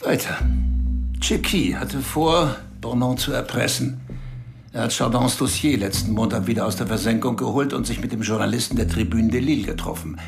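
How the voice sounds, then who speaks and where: An actor playing a calm, menacing male spymaster who questions a subordinate in a radio drama. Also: mid-range voice, normal speed, vocal fry, close to an everyday voice. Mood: cold. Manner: calm, cold, interrogating. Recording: studio radio play with music behind.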